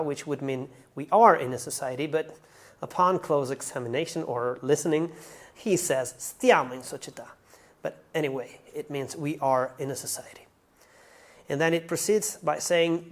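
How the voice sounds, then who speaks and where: A language teacher giving an explanatory song translation lesson, going through it carefully word by word. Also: mid-range voice.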